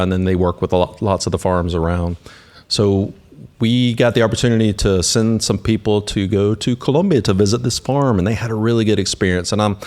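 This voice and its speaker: A man's voice: on the low side, darkish tone. A man, hosting and chatting informally to a live audience in a folksy, conversational way. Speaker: podcast host. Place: cafe, live event.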